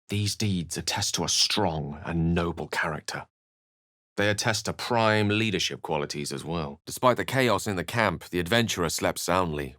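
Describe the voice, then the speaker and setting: An actor playing a learned, courteous and wry wizard with a touch of melancholy, speaking in articulate, theatrical phrases for a video game. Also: male voice, sits low, fairly bright tone, dry recording, clean studio sound. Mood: grave, wry. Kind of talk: video game character lines and narration.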